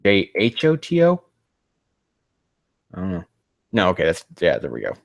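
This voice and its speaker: A man with a low voice hosts a casual live reading. He rambles and swears freely, sounding exasperated as he picks at the writing. The sound has some room echo. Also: huge pitch swings, moderate pace, gravelly, highs cut off. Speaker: host reading fan fiction aloud on a live stream.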